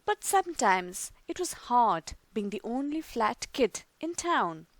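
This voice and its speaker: Woman storyteller, high lively voice, unhurried reading. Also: no echo. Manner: slow, expressive reading. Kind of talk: children's book read-aloud.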